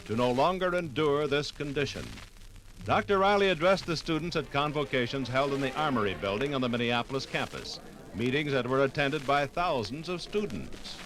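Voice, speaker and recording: A man's voice: mid-range voice, a bit bright, low-quality recording. An American man reading scripted 1950s radio documentary narration in a formal, grave manner.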